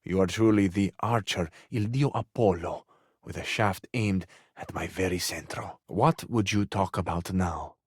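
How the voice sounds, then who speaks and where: A man's voice: deep voice, unhurried, husky, dry recording, studio quality. A man playing a gallant, lovestruck Italian romantic in a video game, speaking with an Italian accent in a flowery, poetic, courtly manner. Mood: proud, ardent, then yearning, adoring.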